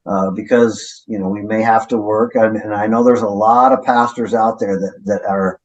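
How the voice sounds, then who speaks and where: A middle-aged man with a fairly low voice speaks as a pastor being interviewed. He talks in a reflective, low-key manner and often tags his points with "right?". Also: steady tone, normal speed, dull audio. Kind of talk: conversational interview.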